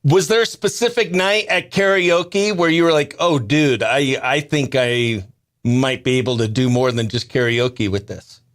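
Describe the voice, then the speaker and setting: A man's voice: medium pitch, a little slow, fairly bright tone. A man hosting a country radio show, chatting casually and slangily as he runs the show and interviews. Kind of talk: behind-the-scenes radio show chat and interview.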